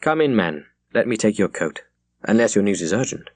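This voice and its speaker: A man's voice: lowish voice, sing-song, normal speed, darkish tone, dry recording. A man voicing a reserved, dutiful naval captain with a formal manner in an audiobook. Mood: courteous. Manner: formal, restrained. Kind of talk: audiobook character voice.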